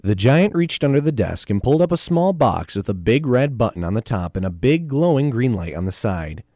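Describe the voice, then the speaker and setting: A man narrating a scripted comic adventure story, with occasional deliberate hesitations. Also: mid-range voice, big pitch swings, dark tone, no room sound, clean studio sound.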